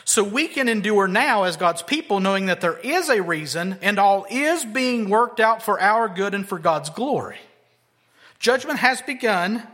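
A man preaching an expository sermon, moving between loud, emphatic lines and quiet, low asides. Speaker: pastor.

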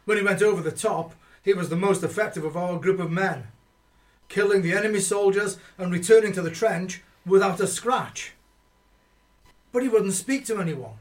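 A man's voice: high voice, normal speed, natural voice. A man playing a worried soldier who recalls a troubled comrade, narrating in a radio play.

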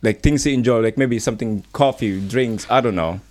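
A man's voice: medium pitch, brisk, a little mellow. A man hosting a live student radio show in a studio, chatting casually with many small hesitations. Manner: chatty, hesitant with frequent fillers. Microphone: studio microphone.

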